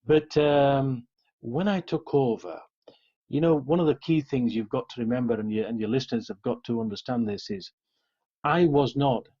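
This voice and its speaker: A middle-aged Yorkshireman talks earnestly as an interview guest. His voice is soft-edged and mellow, with a husky grain. The sound is dull and lo-fi. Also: medium pitch, moderate pace. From Yorkshire, England. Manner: frequent "you know" and "sort of". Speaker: interview guest, police officer.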